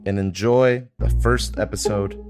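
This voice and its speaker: A man's voice: fairly low pitch, steady tone, a little slow. Man reading an announcement over guitar music. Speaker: series creator introducing a new show. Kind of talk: scripted podcast announcement. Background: prominent guitar music.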